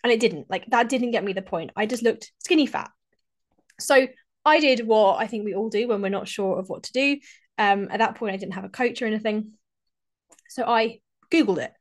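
A woman's voice: fairly high pitch, normal speed. A woman in her thirties hosts her own podcast, sounding eager and conversational. A lingering cold leaves her voice a touch congested. She often repeats or restarts phrases as she talks. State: slightly congested, recovering from a cold. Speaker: podcast host and fitness coach. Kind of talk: solo podcast talk.

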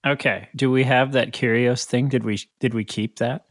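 A man's voice: medium pitch, steady tone, moderate pace, a bit bright, no room sound, studio quality. A man co-hosting a podcast, talking through sports in a casual, analytical chat. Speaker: podcast co-host.

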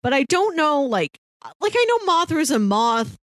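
A woman's voice: sits high, sing-song, moderate pace, fairly bright tone, no echo, studio quality. A woman talking casually and conversationally as a podcast co-host.

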